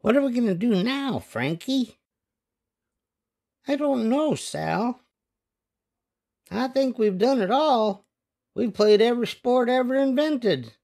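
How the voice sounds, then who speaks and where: An older man puts on restless young cartoon boys from a storybook. The delivery is dramatic and slow, swinging widely in pitch, with a gravelly creak. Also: exaggerated voice. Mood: bored. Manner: expressive, dramatic. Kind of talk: animated storybook read-aloud with character voices.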